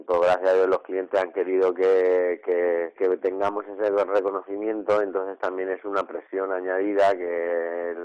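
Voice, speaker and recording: Middle-aged man from La Mancha, chef interviewee; very low, flat delivery; hesitant, restarts often; muffled lo-fi sound. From La Mancha, Spain. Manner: hesitant, many fillers and restarts. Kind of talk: casual interview answers.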